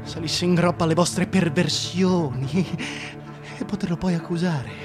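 A man's voice: unhurried, over music, lightly acted. An actor playing a vain, self-pitying tyrant king in a theatrical, declamatory radio drama monologue, volatile and contemptuous. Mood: bitter, accusing. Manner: declamatory, volatile, contemptuous, swinging from hushed to shrill.

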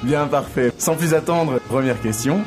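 A man hosting a discussion, brisk and asking his guests questions. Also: medium pitch, over music. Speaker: host.

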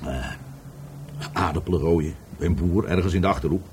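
A man's voice: low voice, a little fast, darkish tone, breathy edge. An actor in a Dutch radio play playing a drunken, bitter divorced man who rambles, stammers and repeats himself. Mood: agitated, tipsy. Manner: stammering, repetitive, tipsy.

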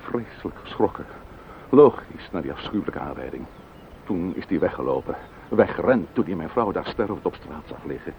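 An actor playing a grieving, indignant widowed neighbour in a radio play, formal and cutting, with a Netherlands Dutch accent. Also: male voice, deep voice, expressive, moderate pace. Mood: agitated grief. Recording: dull, noisy old radio play recording.

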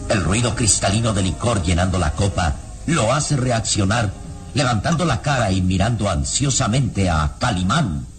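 A man narrating a vintage radio serial in a solemn, deliberate, theatrical style. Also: on the low side, animated. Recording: old radio serial with loud music bed.

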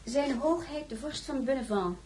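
An actress playing a loyal, homely companion to a princess in a radio drama. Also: female voice, high-pitched, normal speed, warm tone, a little hiss or hum, lightly acted. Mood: dutiful. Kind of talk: Dutch radio drama acting.

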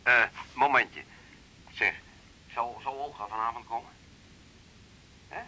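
A man's voice: mid-range voice, measured pace, sharp tone, full character voice, rough recording. Puzzled father on the phone, piercing tone. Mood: puzzled, uneasy. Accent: Netherlands Dutch. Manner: hesitant, polite. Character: puzzled, middle-aged father answering the telephone. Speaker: radio drama actor. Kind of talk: 1980s Dutch radio drama acting.

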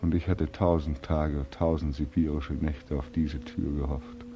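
Despairing young veteran, radio drama, low, mellow and slow, bitterly sardonic. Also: male voice, steady tone, dull audio. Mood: accusing, sardonic. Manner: brooding, accusing, sardonic. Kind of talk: 1940s radio drama acting.

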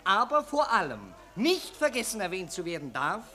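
A man's voice: sits high, huge pitch swings, moderate pace, pushed and bright, heavily acted. A man playing a pompous, self-important, cynical Viennese newspaper reporter in a 1940s German radio play, declaiming emphatically. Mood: bustling, insistent.

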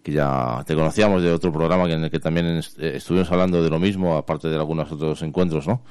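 A man with a Spanish accent hosts a radio talk show in a very low voice. The sound is clean and resonant, recorded close and dry. He chats informally and wanders a little, tagging phrases with small questions. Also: steady tone, fast, darkish tone, clean tone, no echo. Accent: Spain. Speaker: radio host. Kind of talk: conversational radio interview. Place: radio studio.

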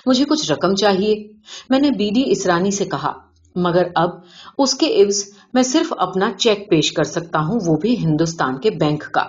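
A woman's voice: medium pitch, expressive. A woman reading a scripted short story as an audiobook narrator, in a recording with dull, band-limited sound.

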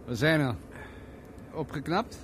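A coolly menacing rich tycoon. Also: male voice, on the high side, animated, slow pace, a bit bright, slight background noise. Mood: curt menace. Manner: taunting, coolly menacing, commanding. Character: ruthless, rich businessman, domineering and mockingly genial. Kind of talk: 1970s Dutch radio drama acting.

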